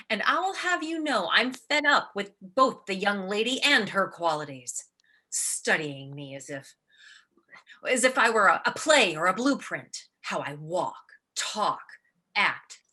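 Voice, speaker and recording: Radio play: ageing theatre diva, jealous and sardonic, forceful tone, dramatic swings in pitch. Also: female voice, very animated, slow pace, heavily acted. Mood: jealous fury. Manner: biting, theatrical, sarcastic delivery.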